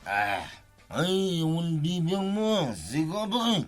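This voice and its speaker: Drunken village grumbler, slow slurred speech with wild pitch swings, some music behind, radio comedy. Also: male voice, sing-song, no echo. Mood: drunken grumbling. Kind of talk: Ilocano radio comedy drama acting.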